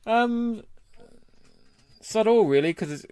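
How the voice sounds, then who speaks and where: A man's voice: high-pitched, animated, slow pace, darkish tone, clear, resonant tone, no room sound. A British man co-hosting a casual beer podcast in a blokey, informal, sweary way. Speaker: podcast co-host.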